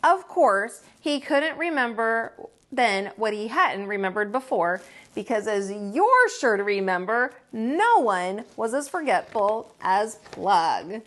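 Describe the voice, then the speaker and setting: A woman reading a children's story aloud as a teacher, with folksy, expressive delivery and dramatic emphasis. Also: on the high side, takes it slowly. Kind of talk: animated read-aloud storytelling.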